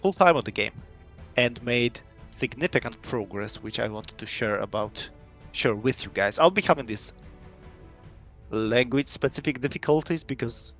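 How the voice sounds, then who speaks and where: A man's voice: mid-range voice, expressive, normal speed, fairly bright tone, soft background music. A young man from Poland with a Polish accent, English not his first language, showing his indie game on a live stream and talking in a loose, rambling way with many restarts. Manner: rambling, lots of fillers and restarts.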